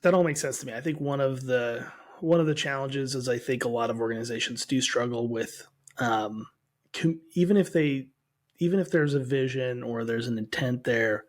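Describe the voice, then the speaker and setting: A man co-hosting a podcast, agreeing with his guest and then building on the point in a relaxed conversational way. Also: mid-range voice, moderate pace. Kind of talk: casual podcast discussion.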